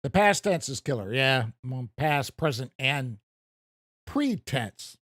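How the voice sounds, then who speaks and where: Animated, chatty American man streaming a game. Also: mid-range voice, slow, no echo, studio quality. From United States. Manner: rambling, self-deprecating, chuckles at himself. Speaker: gaming streamer. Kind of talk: casual live stream commentary.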